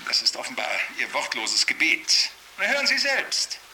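A man's voice: on the high side, wildly swooping pitch, normal speed, sharp tone, slight background noise, full character voice, rough recording. An actor playing an alarmed, self-assured male cult expert, lecturing formally and emphatically in a radio drama interview. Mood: indignant, lecturing. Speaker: TV studio expert being interviewed. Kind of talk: radio drama acting as broadcast expert commentary.

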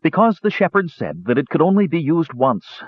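A man's voice: fairly high pitch, very animated, moderate pace, breathy edge, no echo, pitched above the performer's own voice. A man voicing an earnest, mischievous young male kender who talks eagerly and pleads to be believed. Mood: wistful. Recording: dull dry recording.

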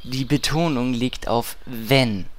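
A man playing a blunt, unimpressed fantasy mount salesman in a comic audio play, deadpan and full of patter. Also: medium pitch, slow pace, natural voice.

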